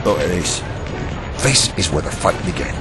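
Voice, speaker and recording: A man's voice: sits low, lively intonation, moderate pace, music in the background. A man with a Scottish accent playing an impatient, sardonic Prime Minister in an audio drama. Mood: commanding. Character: brusque, sarcastic Prime Minister commanding under attack.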